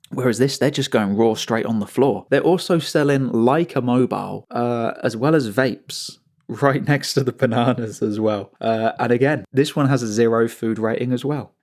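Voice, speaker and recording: A man hosting his own channel, talking slowly through an unscripted commentary with asides and frequent restarts. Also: mid-range voice, a little mellow. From England. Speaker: channel host.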